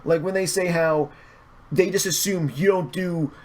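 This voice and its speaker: A man chatting casually as a podcast guest, explaining things haltingly and rephrasing as he goes. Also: fairly high pitch, steady tone, darkish tone.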